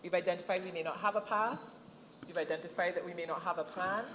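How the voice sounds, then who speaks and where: A Barbadian woman moderating a webinar panel, posing questions in a clear, measured way. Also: lowish voice, fairly even intonation, fairly bright tone, a little hiss or hum. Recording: echoey room, faint ambience.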